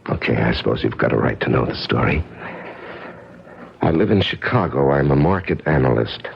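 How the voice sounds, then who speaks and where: An American actor playing an injured, evasive and wry drifter, colloquial and halting, in 1970s radio drama style on a muffled old broadcast. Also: male voice, very deep voice, gravelly, husky, full character voice.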